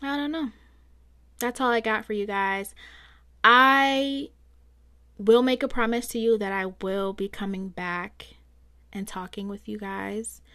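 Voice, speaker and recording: A woman's voice: mid-range voice, fairly even intonation, measured pace, pauses often, pushed and bright. A woman hosting a solo podcast, talking through her thoughts in a chatty, reflective way, her voice a bit strained from so much talking. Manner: chatty, reflective, rambling with fillers. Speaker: podcast host. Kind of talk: casual solo podcast monologue.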